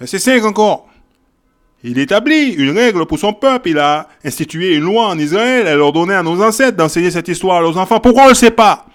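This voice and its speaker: High-voiced man preaching emphatically. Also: expressive, fairly quick. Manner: emphatic, repeats phrases, checks in with "ok?" and "hein?"; shifts from quiet verse reading to loud exhortation. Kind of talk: Bible teaching sermon.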